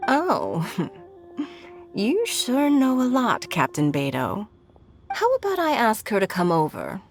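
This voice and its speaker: A woman's voice: medium pitch, wildly swooping pitch, slow, heavily acted. A woman playing a poised, teasing, silver-tongued official in a video game, speaking articulately with playful point. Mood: coy, playful. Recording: music playing under the dialogue.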